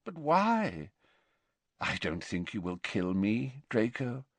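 Guy doing a gentle old wizard, soft and mild, lilting, high-ish, very dry. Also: slow, no room sound, full character voice. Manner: mild, soft-spoken and calm. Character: wise, gentle very old male wizard headmaster.

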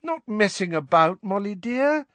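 Brief: narrator as sheepish wizard dad, imploring, stammering. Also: male voice, high-pitched, sing-song, measured pace, fairly bright tone, no room sound, voice pushed up, full character voice. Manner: imploring, hesitant. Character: flustered middle-aged wizard father, sheepish.

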